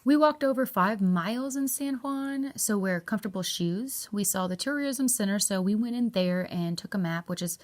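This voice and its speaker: A woman's voice: medium pitch, moderate pace, hardly any pauses. A woman with a Southern US accent, narrating a chatty, upbeat travel review in a folksy way. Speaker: travel vlogger.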